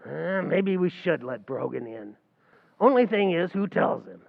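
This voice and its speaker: A man's voice: fairly high pitch, very animated, a little slow, gravelly, narrow, tinny audio, heavily acted. A man playing a wisecracking New barman. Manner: wisecracking, mangles grammar. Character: fast-talking scheming male tavern manager. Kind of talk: 1940s radio acting.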